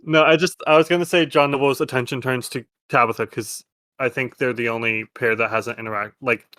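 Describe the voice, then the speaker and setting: A man's voice: medium pitch, steady tone, a little fast, dry recording. A man improvising the narration of a tabletop game as its game master. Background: soft music.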